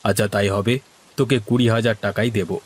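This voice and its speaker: A man's voice: on the low side, steady tone, a little fast, slight background noise, lightly acted. A man voicing village men in a cartoon, from schemers to worriers, with theatrical, exaggerated dialogue delivery. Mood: commanding.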